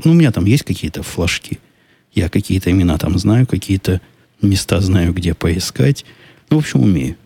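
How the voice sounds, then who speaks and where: Deep, gravelly-voiced man musing skeptically. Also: dark tone, dry recording. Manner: skeptical, musing, talks in loose restarts. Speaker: podcast host answering listener questions. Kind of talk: casual solo podcast monologue.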